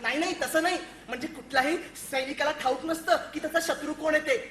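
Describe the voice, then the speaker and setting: A woman's voice: high-pitched, fast, fairly bright tone. A woman playing a naive, earnest young soldier son in a comic stage play, explaining things in a childlike, eager way. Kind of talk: theatrical one-act stage comedy acting. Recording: echoey stage recording with faint music.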